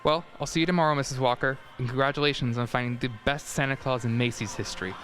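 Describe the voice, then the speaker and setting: A man performs an effusive store publicity man in a student radio play. He gushes with admiration in a mid-pitched voice. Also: fairly even intonation, fast talker, a bit bright, soft background music, lightly acted. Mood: gushing. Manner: gushing.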